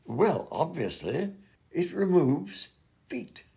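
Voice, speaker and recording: A man's voice: high voice, very animated, slow pace, gravelly, dark tone, exaggerated voice. A man playing an absent-minded, fussy and polite mad doctor and inventor in a comedy radio play. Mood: breezy, fussy.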